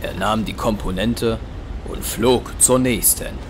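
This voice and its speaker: A man's voice: fairly low pitch, slow, background noise. A man narrating a scripted audio drama. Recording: clear music behind.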